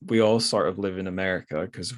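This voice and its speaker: Scottish male podcast host, very low voice, loose conversational delivery with frequent restarts. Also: fairly even intonation, fast. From Scotland. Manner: rambling, hesitant with many restarts and fillers. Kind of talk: casual conversation.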